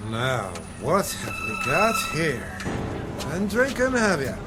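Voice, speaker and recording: A bossy beat policeman, scolding in a stern, fairly high male voice. He is in an audio drama with loud music behind him. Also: sing-song, takes it slowly. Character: gruff, scolding old-fashioned beat policeman.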